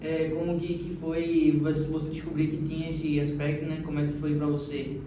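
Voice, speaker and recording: A man's voice: fairly high pitch, little pitch movement, moderate pace. Man, hesitant interview host, distant mic, echoey and noisy room. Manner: hesitant, many "né" fillers. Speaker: podcast host. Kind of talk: casual interview.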